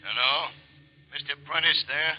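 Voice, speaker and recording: A man's voice: a little high, fairly even intonation, moderate pace, close to an everyday voice. An American man playing a blunt, menacing, gangster-like telephone caller in a 1940s radio drama. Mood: curt, menacing. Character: hard-boiled, curt gangster-like telephone caller. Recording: muffled old radio, phone caller.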